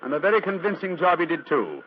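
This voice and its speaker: British gentleman sleuth, theatrical, precise, very lively intonation, muffled vintage radio recording. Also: a little high, fast. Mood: amused, smug. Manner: urbane, precise, theatrical, self-assured. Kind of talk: 1940s radio acting. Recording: dull, noisy old radio recording.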